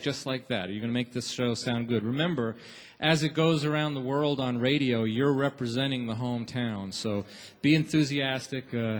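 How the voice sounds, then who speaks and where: In a big, reverberant theater, a man speaks from the stage as a show's host. His voice sits at a middling pitch, and he addresses the audience informally and encouragingly, in stop-and-start phrases, like an emcee warming up the house before a taping. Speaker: radio show host and emcee. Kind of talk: warm-up announcements to a live theater audience. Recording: echoey theater hall with long reverb.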